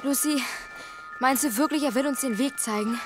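A woman's voice: high-pitched, normal speed, close to an everyday voice. A woman playing a cautious, anxious but sensible older girl in a German audio drama. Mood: worried. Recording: music under the voice.

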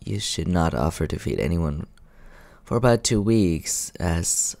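Male actor as courteous vampire for ASMR roleplay: deep, gravelly, teasing, intimate, dry close-mic sound. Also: animated, no echo, full character voice. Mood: calm, businesslike. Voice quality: low, creaky. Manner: soft-spoken, intimate, unhurried, polite. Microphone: close microphone.

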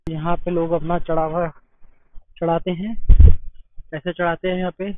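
A man's voice: a little high, little pitch movement, normal speed, no room sound. A young man from India narrating a travel vlog outdoors, chatty and exclaiming as he shows viewers around. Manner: chatty, exclaiming, tour-guide commentary to viewers. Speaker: travel vlogger. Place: outdoors at a temple site. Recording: tinny phone-like sound.

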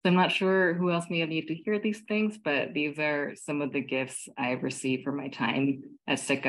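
Gravelly, husky woman closing a talk. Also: rumbling low, poor audio. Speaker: resident artist finishing a talk. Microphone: video call.

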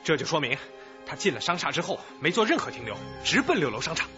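Eager investigator, excitable, short bursts, radio drama, lively pitch, music behind, dull sound. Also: male voice, fast. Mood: excited, sure. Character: eager male police investigator scanning surveillance footage. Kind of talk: Chinese police radio drama acting.

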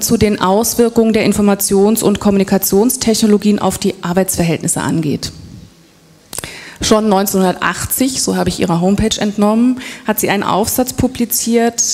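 A woman moderating a conference, introducing the keynote speaker in measured, orderly phrases. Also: mid-range voice, darkish tone. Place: conference hall. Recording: long reverb of a conference hall.

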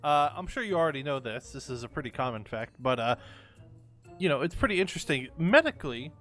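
A man's voice: a little high, very animated, quick pace, a bit bright. A man playing a geeky scientist in an improvised game roleplay, earnest and pedantic, with a theatrical delivery. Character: geeky, banana-obsessed male scientist in a game. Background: background music.

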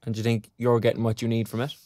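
A man's voice: mid-range voice, completely flat delivery, racing, mellow, no echo, studio quality, close to an everyday voice. A man with an Irish accent playing a young graduate in an audio drama, teasing and encouraging in colloquial talk. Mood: bantering. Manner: colloquial, bantering. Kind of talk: naturalistic audio drama dialogue.

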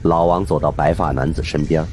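A man narrating a suspense horror audiobook in an expressive, dramatic storytelling manner. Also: extremely low pitch, a little fast, slight background noise.

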